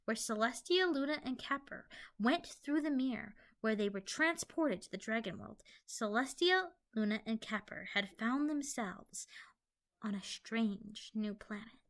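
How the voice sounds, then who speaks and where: A woman's voice: fairly high pitch, lively intonation, unhurried, a bit bright. A woman narrating a fan fiction audio play in a storytelling style.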